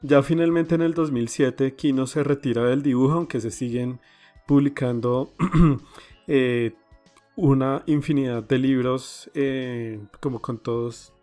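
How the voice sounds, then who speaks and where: A man hosts a podcast in a warm, dark-toned voice, telling the story in a loose, halting way. Faint music plays quietly underneath. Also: medium pitch, steady tone. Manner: conversational, halting with fillers. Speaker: podcast host. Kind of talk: casual podcast narration.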